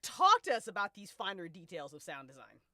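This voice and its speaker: A woman's voice: medium pitch, huge pitch swings, brisk, pushed and bright, no echo, clean studio sound. A woman hosting a casual podcast discussion, talking in a playful way and swearing freely. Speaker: host of podcast cast-and-crew listening event. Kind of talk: casual chat.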